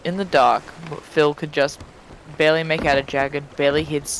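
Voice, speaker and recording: A man's voice: on the high side, level tone, normal speed, a little hiss or hum, poor audio. A man reading fan fiction aloud dramatically as a streamer, dropping into casual chat, tripping on words and swearing offhandedly.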